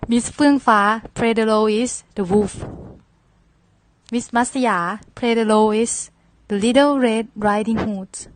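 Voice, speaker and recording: A woman's voice: a little high, steady tone, unhurried, rough recording. A woman narrating a scripted children's story as moderator.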